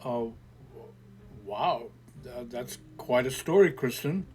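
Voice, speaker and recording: A man reading an audio story, voicing a calm, skeptical grown man who patiently explains things away in a soothing, lecturing way. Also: medium pitch, takes it slowly, husky, pitched below the performer's own voice. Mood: placating.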